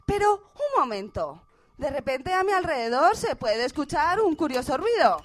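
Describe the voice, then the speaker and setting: A woman acts an excitable on-the-scene radio reporter in a comic drama. She has an extremely high voice and a flustered, exclaiming, stammering delivery that keeps repeating itself. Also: normal speed. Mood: frantic. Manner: breathless exclamations, stammering, exasperated repetition. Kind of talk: radio theatre parody of live news report.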